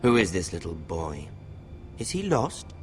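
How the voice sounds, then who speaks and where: Cruel young lord from a fantasy game, low n slow, toying menace. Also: male voice, wildly swooping pitch, darkish tone, soft background music, exaggerated voice. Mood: mocking. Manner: mocking, theatrical, toying. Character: sadistic young bastard lord, mocking and menacing.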